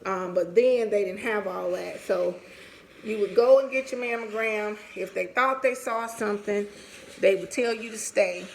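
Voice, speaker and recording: A woman's voice: mid-range voice, normal speed, gravelly, slight background noise, low-quality recording. A woman in her forties sharing a personal story in a candid, casual, conversational way, as in a vlog. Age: 40s. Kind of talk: casual personal storytelling while getting ready.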